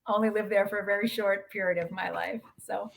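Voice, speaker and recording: A woman's voice: mid-range voice, steady tone, brisk, creaky, low-quality recording. Middle-aged American woman in casual conversation. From USA. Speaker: podcast guest, transformation mentor.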